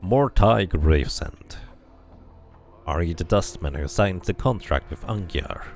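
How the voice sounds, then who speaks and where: Guy doing a low gravelly adventurer guy in a fantasy game, measured and confrontational. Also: expressive, soft background music. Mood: calm, probing. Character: male adventurer, calm, probing, firm and confrontational.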